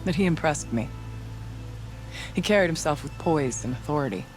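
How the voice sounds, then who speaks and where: Game shaman, male actor: devout and earnest, voice on the higher side, formal measured delivery, music underneath. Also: expressive, natural voice. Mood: grave, measured. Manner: measured, formal, reverent phrasing. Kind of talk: dramatic video game cutscene acting.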